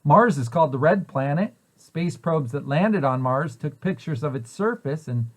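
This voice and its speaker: A man's voice: a little high, animated, moderate pace. A man reading a children's picture book aloud in an explanatory way, posing questions and then answering them. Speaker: preschool site director reading aloud to children.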